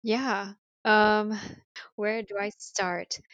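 A woman in her thirties from Malaysia, a university dean, reflectively telling her life story in an interview with many pauses and ums. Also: mid-range voice, steady tone, no echo. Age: 30s. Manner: reflective, many ums, soft trailing phrases.

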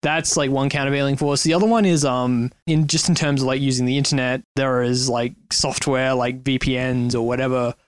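A man speaking as a podcast guest, explaining ideas in quick bursts and leaning heavily on "like" and "um.". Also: mid-range voice, fairly even intonation, clean tone, dry recording. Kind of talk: casual conversational discussion.

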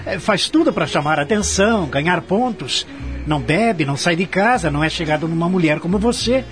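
Radio drama role, male actor: envious, arrogant young executive, lively swooping delivery, faint music behind. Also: high voice, fairly quick. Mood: irritated, scornful. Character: cocky, envious young male manager of twenty-seven. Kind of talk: radio soap opera acting.

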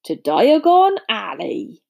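A woman's voice: medium pitch, huge pitch swings, slow, vocal fry, darkish tone, full character voice. A woman voicing a big, good-natured man with a blunt, folksy, emphatic way of talking in a storybook. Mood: brisk, hearty. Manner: folksy, informal, emphatic speech.

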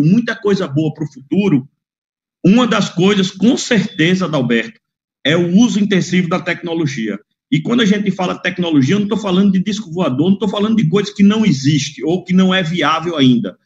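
Man, fairly high voice, slangy and blunt, muffled video call audio. Good for a casual panel guest. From Northeast Brazil. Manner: expansive, frank, blunt with slang and mild swearing. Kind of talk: casual chat.

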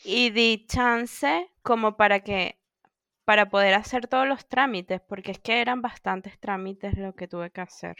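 A woman co-hosting a podcast, telling stories in animated casual chat with quoted asides. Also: mid-range voice, measured pace, no echo, clean studio sound. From Venezuela. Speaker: podcast co-host.

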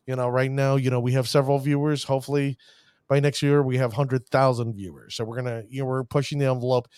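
A man's voice: mid-range voice, flat delivery, fast, a little mellow, clear, resonant tone, dry recording, studio quality. A man hosting a card-game show, talking with showman flourishes and stumbling over player names. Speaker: podcast host. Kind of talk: hype-filled casual show talk.

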